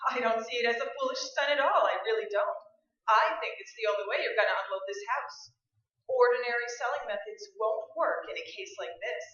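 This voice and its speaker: A woman's voice: fairly high pitch, lively intonation, brisk, a bit bright. A woman playing an eager, talkative real estate agent with persuasive, breezy sales patter in a staged old-time radio play. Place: live stage performance. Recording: dull, echoey live stage recording.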